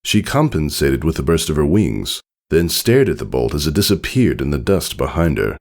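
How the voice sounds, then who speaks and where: Male story narrator, low-pitched, highly animated intonation, expressive storytelling, scripted reading. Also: moderate pace. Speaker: fan fiction reader.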